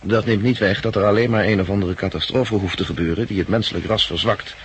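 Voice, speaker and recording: An actor in a 1960s radio drama playing a shrewd, brooding farm worker who speaks gravely and deliberately. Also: male voice, on the low side, steady tone, close to an everyday voice. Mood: grim, musing. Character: brooding male plant-farm worker, shrewd, grimly warning. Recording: old radio recording, faint noise.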